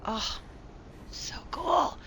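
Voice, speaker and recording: A woman's voice: extremely slow, a bit bright, whispered. A woman giving casual live gameplay commentary, thinking aloud in a chatty, playful way with bits of slang. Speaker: game streamer. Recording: faint noise and game ambience behind.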